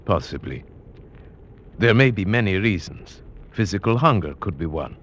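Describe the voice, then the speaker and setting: An actor playing a sober, thoughtful man who narrates a werewolf tale in a measured, grave 1940s radio drama style. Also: deep voice, animated, a bit bright, breathy edge, highs cut off, heavily acted. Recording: old radio drama with music behind.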